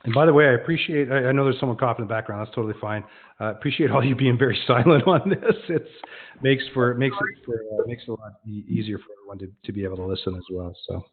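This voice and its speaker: A man's voice: medium pitch, fast talker, a little hiss or hum. Lo-fi, muffled webinar audio carries a man with a mid-range voice. He presents informally, explaining things in a conversational way and often correcting himself as he goes. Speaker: presenter of an online product demonstration. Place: online web conference. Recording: dull, lo-fi online webinar audio.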